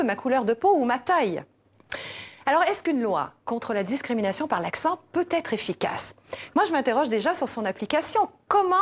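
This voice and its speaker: Lively Québécois woman with a wry delivery. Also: fairly high pitch. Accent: Québécois French. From Quebec. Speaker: journalist giving a commentary segment. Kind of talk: scripted broadcast commentary.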